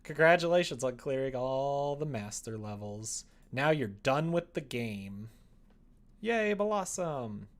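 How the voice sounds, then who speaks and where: A man's voice: mid-range voice, lively intonation, slow. A young man hosting a livestream, chatting casually with a self-deprecating, sardonic, grumbling manner. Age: young adult. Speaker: livestream host. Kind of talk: casual livestream chat while working.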